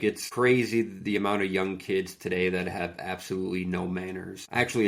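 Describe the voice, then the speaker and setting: Low, flat, gravelly-voiced man. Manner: casual, earnest, peppered with "like". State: getting over a cold. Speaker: podcast host and fitness coach. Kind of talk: solo podcast monologue.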